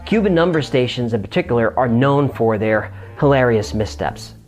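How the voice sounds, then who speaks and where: A man's voice: mid-range voice, animated, normal speed, darkish tone, faint music behind. A man hosting a show and narrating in a scripted explainer style.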